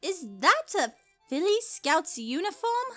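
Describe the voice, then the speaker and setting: Prim, dramatic female cartoon unicorn: very high, animated, bright delivery. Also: measured pace, soft background music, exaggerated voice. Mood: bossy, fussy. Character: fussy, refined older-sister unicorn pony. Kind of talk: cartoon fan fiction audio drama.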